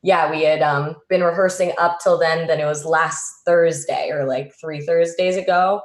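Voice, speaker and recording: A woman with a notably low voice chats informally as one of a group of actors. Her speech is loose and peppered with "like". The room around her rings with echo, as on a home video call. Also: fairly even intonation, moderate pace. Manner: informal, frequent "like". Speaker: stage actress. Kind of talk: casual group chat.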